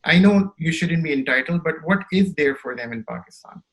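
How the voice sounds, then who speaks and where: A man's voice: a little high, expressive, brisk, a bit bright. A man who grew up in Saudi Arabia, hosting a podcast and laying out long, thoughtful questions, often checking in with the guest. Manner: thoughtful, builds questions at length, checks in with "right?". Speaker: podcast host. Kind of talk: interview questions.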